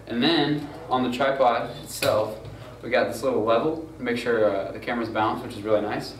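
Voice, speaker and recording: A man's voice: medium pitch, a little fast. Young man, casual tutorial, informal with fillers, distant mic, echoey room. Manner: loose and informal, many fillers and "gonna go ahead". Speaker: student crew tutorial presenter. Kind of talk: casual how-to demonstration. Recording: roomy, far from mic, noisy.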